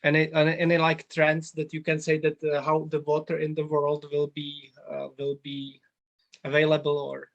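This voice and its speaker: A man's voice: fairly high pitch, completely flat delivery, moderate pace, gravelly, a bit bright, dull audio. A man hosting a podcast interview, asking questions in a hesitant way with many fillers. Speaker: podcast host.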